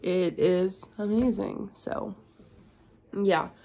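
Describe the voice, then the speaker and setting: A young woman, hoarse and congested from being sick, chatting tiredly in a home vlog. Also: fairly even intonation, very slow, mellow, low-quality recording. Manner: tired, low-energy, chatty. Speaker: vlogger. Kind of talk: casual vlog chat. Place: at home indoors.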